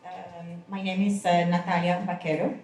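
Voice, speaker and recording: Woman, low pitch, very slow, hesitant, mic at a distance, echo. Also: flat delivery, darkish tone, slight background noise. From Colombia. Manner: halting, many restarts and fillers. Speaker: costume designer, panelist. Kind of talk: self-introduction at a conference. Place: conference room. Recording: echoey room, far from the mic.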